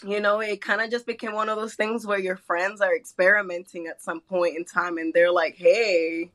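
A woman's voice: mid-range voice, steady tone, moderate pace, a bit bright. A young woman from Mexico, a guest artist chatting casually, giggling and rambling as she talks. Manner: giggly, rambling, laughs often. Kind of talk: casual chat.

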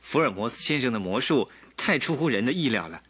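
A man's voice: mid-range voice, lively intonation, a little fast, fairly bright tone, husky. An actor playing an inquisitive, admiring man who questions a detective in a radio drama style. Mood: curious. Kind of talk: Chinese radio drama acting.

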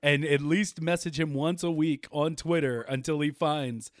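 A man's voice: fairly high pitch, no room sound, clean studio sound. A man hosting a podcast, chatting with guests, injured and speaking from his bed. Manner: animated, laughing, then serious and measured. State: injured, bedridden. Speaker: podcast host. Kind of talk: casual podcast chat.

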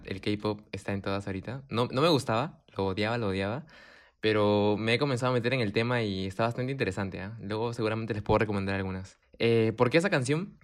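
A young man, a podcast guest chatting in a relaxed way with lots of fillers and restarts. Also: lowish voice. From Japan. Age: young adult. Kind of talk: casual chat.

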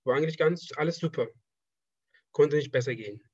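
A young man from Afghanistan with Persian as his first language answers questions in a relaxed, halting way, often restarting his phrases. His voice is fairly high and a bit husky. The sound is dry and slightly muffled. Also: no echo. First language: Persian (Dari). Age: 20s. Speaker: interviewee, trained draftsman. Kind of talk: casual conversational interview.

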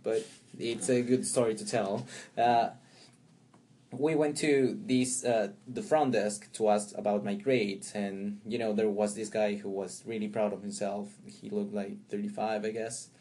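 Low-voiced Peruvian man telling a story. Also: mellow. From Peru. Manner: rambling storyteller with many fillers and restarts, laughs often. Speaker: guest. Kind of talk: casual interview chat.

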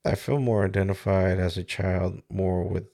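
Man in his forties, from the Dominican Republic, deep voice, gravelly, halting and searching delivery, solo podcast on a studio mic. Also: fairly even intonation, mellow. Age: 40s. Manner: halting and searching, with many pauses and restarts. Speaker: podcast host. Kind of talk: reflective solo podcast monologue. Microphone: studio microphone with mixer.

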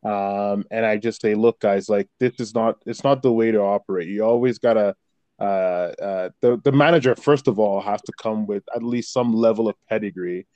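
Agreeable low-voiced male host. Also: moderate pace, mellow, dry recording. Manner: agreeable, frequent short backchannels. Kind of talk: casual chat.

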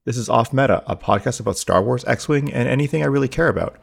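A man's voice: medium pitch, quick pace, creaky. A man hosting a podcast and chatting casually, talking loosely with lots of "like" and "um" fillers. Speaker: podcast host. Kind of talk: casual chat.

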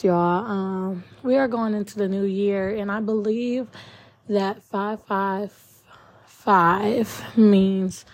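A young woman in her twenties with a raspy voice, just up from a nap, hosting her own podcast in a chatty, rambling monologue with many ums. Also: mid-range voice, warm tone. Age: 20s. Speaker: podcast host. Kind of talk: casual solo podcast monologue.

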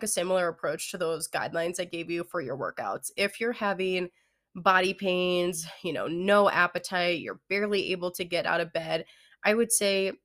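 Young woman w a head cold, stuffy nasal voice, a bit low, chatty coach talk full of likes. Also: a bit bright. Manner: chatty, many fillers like "like" and "you know". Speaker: fitness coach podcast host. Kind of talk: casual solo podcast advice.

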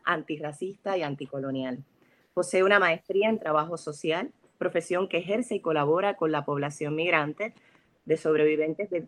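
Low-voiced woman host reading bios. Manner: measured, formal reading. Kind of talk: reading guest introductions.

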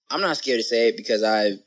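A man as a podcast guest talking in casual conversation, animated and rambling, with lots of "like" and "you know". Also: mid-range voice. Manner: animated, rambling, says "like" a lot.

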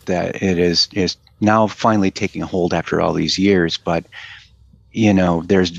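Male guest, deep gravelly voice, dull dry remote audio, rambling. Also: fairly even intonation, normal speed, no room sound. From United States. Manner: rambling, loose, with fillers and restarts. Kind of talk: casual conversational interview.

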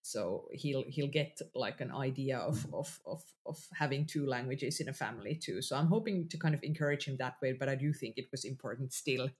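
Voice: mid-range voice, fairly quick, few pauses. Reflective speaker. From Finland. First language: Finnish. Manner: reflective, with frequent restarts. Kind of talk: casual conversation.

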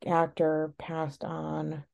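A sniffly woman chats casually as a podcast co-host, rambling and frank. Her voice sits on the low side with plenty of gravelly fry, and she takes her time getting the words out. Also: monotone, drawn-out words, darkish tone, dull audio. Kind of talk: casual chat.

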